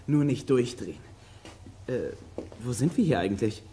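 A performer playing a bold, practical boy detective with a brisk, decisive manner in a children's audio drama. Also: medium pitch, expressive, background noise, close to an everyday voice. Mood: composed.

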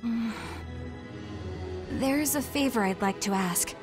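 A woman's voice: on the high side, takes it slowly. An actress playing an earnest, polite and determined young woman fighter in a video game cutscene. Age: young adult. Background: background music.

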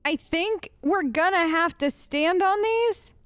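A woman's voice: very high voice, slow pace, a bit bright, no room sound. A woman doing casual, chatty role-play game commentary with a playful, wry manner. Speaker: gamer, let's player.

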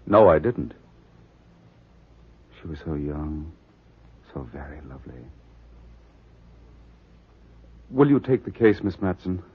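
Low husky man, suave wealthy client, measured and formal, muffled 1940s radio drama. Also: sing-song, heavily acted. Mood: uneasy, grave. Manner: measured, formal, smooth-talking. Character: suave, flirtatious, uneasy wealthy male client.

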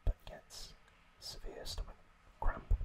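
A man whispering breathily as an ASMR host, recapping episodes in an informal, halting way. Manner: slow, halting, informal explaining.

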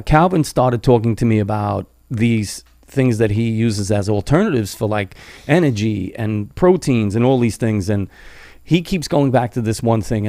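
A man's voice: fairly low pitch, warm tone, dry recording. A man hosting a podcast interview, rambling along and tagging his sentences with "right?", on a close studio mic. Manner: rambling, keeps adding "right?". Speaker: podcast host. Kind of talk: casual interview talk. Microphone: studio boom mic with headphones, close.

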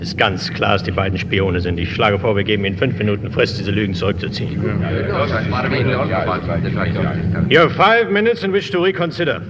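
An actor playing a stern, officious warship captain, with a clipped, formal, commanding manner. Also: male voice, fairly low pitch, animated, fast talker, lightly acted. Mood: threatening. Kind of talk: 1950s radio acting. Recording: reverberant, muffled, noisy 1950s radio recording.

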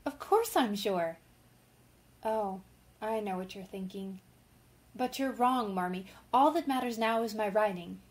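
A woman's voice: medium pitch, a little slow, close to an everyday voice. A woman playing an earnest, impulsive young woman writer in an old-time radio drama. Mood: firm, restrained.

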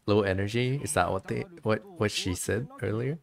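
Low-voiced man, musing game streamer. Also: moderate pace, vocal fry, faint music behind. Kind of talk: casual game commentary with dialogue read aloud.

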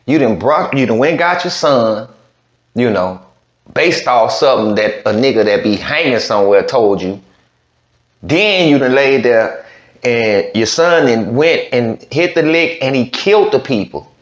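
A man's voice: medium pitch, expressive, measured pace. A man hosting a reaction video, talking in a drawling, slangy, incredulous way. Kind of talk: casual reaction commentary. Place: virtual news studio set. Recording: lo-fi, roomy sound.

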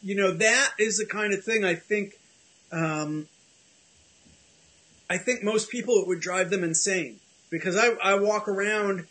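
High-voiced man rambling on a video call. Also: a little slow, pushed and bright. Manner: rambling, self-deprecating, many restarts. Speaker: host. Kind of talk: casual chat on a video call. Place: home office with bookshelves.

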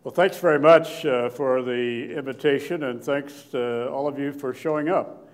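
An older man giving a slide lecture in a hall, with a loud voice and frequent fillers and restarts. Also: mid-range voice. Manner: loud, plain-spoken, halting with many fillers and restarts. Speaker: guest lecturer, archaeologist. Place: lecture hall with seated audience. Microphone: podium microphone, standing at lectern.

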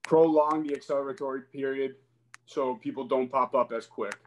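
A man with a medium-pitched voice takes part in a group video call. He poses questions in a relaxed, conversational way. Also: moderate pace, muffled recording, slight background noise. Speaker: call participant asking questions. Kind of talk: casual questioning chat.

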